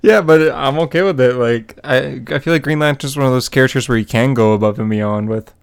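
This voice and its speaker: A man's voice: mid-range voice, brisk, creaky, smooth. A man co-hosting a podcast, talking about comics in a casual, laid-back and informal way. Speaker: podcast co-host. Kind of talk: casual comics discussion chat.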